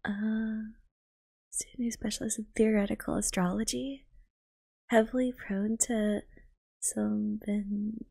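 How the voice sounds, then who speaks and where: A young woman giving gushing, casual reaction commentary in a hushed, half-whispered ASMR manner, close to the microphone. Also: mid-range voice, little pitch movement, takes it slowly, vocal fry, long pauses, husky. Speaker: VTuber reacting to a game trailer.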